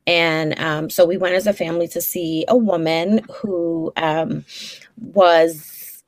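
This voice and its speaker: Woman, 40s, Brooklyn accent, lower voice, dry close sound; frank guest therapist. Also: dry recording. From Brooklyn, New York. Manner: frank and down-to-earth, many fillers and restarts. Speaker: licensed clinical social worker, guest. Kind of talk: casual interview conversation.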